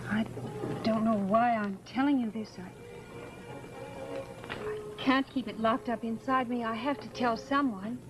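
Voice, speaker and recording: Actress as a troubled, hesitant wife over music. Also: female voice, on the high side, fairly even intonation, measured pace, long pauses, natural voice, poor audio. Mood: anguished, confiding. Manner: earnest, hesitant. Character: troubled, confiding wife recounting her lost love. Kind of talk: old-time radio horror drama acting. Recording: old radio recording with music.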